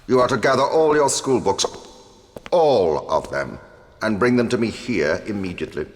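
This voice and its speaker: An English actor playing a stern, menacing wizard schoolteacher in a video game, speaking in a clipped, commanding way. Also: male voice, fairly low pitch, very animated, moderate pace. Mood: angry, commanding. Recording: long hall reverb, background noise.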